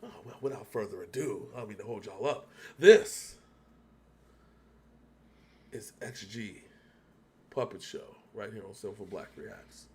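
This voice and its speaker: A man's voice: lowish voice, unhurried, pauses often, slight background noise. A man hosting a casual video reaction, speaking in an emphatic, rambling way as he thinks aloud. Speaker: reaction show host.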